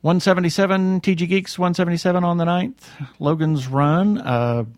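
Male podcast host, slightly high voice, casual announcement delivery, close dry sound. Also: normal speed, dry recording. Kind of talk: casual webcast announcements and sign-off.

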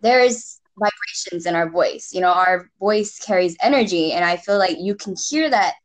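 A woman's voice: on the low side, normal speed, poor audio. A young woman from Singapore with an American accent that carries Singaporean traces, chatting expressively as a podcast guest and laughing often. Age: young adult. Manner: lively, expressive, laughs often, swears casually. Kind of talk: casual chat.

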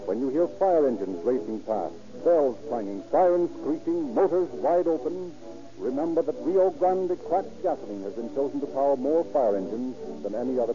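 Dull-sounding vintage American radio announcer, male. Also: mid-range voice. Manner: formal, emphatic, declamatory. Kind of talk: 1930s radio announcing and sponsor pitch.

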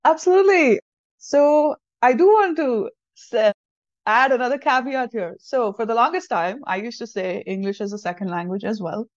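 A woman's voice: fairly high pitch, normal speed, no room sound. A woman with an Indian accent and Hindi as her first language, giving thoughtful, explanatory answers in a conversational interview. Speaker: podcast guest, English language teacher and trainer. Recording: dull lo-fi audio.

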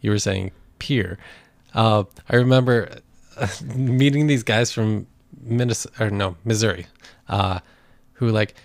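A laid-back young man from Wisconsin with a low, gravelly voice, teasing his co-host in easy podcast chat. He is recorded close and dry. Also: a little slow, no echo. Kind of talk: casual chat.

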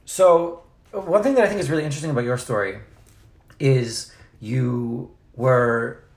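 A man's voice: medium pitch, a little slow, reverberant. Curious chatty man interviewer. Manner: chatty and curious, prompting questions. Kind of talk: casual interview conversation.

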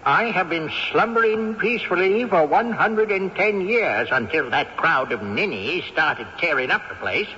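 A man's voice: high voice, animated, normal speed, hardly any pauses, sharp tone, a little hiss or hum. An actor playing the cantankerous ghost of an old man who built the house, grumbling and indignant, in an old radio drama recording. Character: cranky old male ghost of the house's builder. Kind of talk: 1970s radio drama acting. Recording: dull old radio broadcast.

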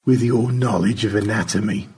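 A man's voice: on the low side, fairly even intonation, moderate pace, darkish tone, breathy edge. A man playing a lovestruck, prim astronaut in a storybook, earnest and wistful. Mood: breathy longing.

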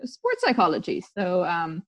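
A woman's voice: fairly low pitch, wildly swooping pitch, a little slow. A woman who grew up in Tanzania, a sports psychologist guest talking casually in an interview, rambling with many fillers and restarts.

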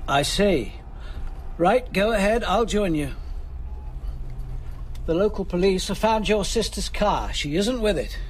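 An actor playing a calm, commanding senior police detective in a radio drama, firm and controlled. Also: male voice, high voice, measured pace, long pauses, slight background noise. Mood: firm, grave.

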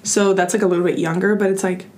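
A woman's voice: mid-range voice, steady tone, fast talker, a little mellow. A young woman from Cuba chatting casually and bluntly as a podcast guest. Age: young adult. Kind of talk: casual chat.

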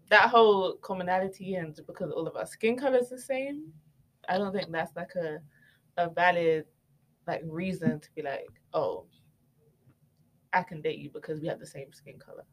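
A woman's voice: on the low side, a little hiss or hum, rough recording. Challenging young woman from the UK. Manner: challenging, quick questions. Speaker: podcast guest. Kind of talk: casual group discussion.

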